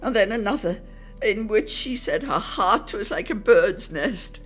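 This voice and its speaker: A woman giving a dramatic literary reading, measured and expressive, lingering on her phrases. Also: mid-range voice, faint music behind. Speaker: dramatic reader.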